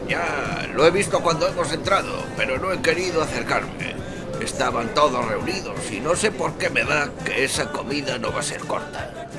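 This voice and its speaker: A man's voice: on the high side, animated, moderate pace, close to an everyday voice. A man playing a learned, ironic, paternal archaeology professor in a radio soap opera, theatrical and pedantic. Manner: theatrical, pedantic, sprinkles Latin. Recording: music behind the voice.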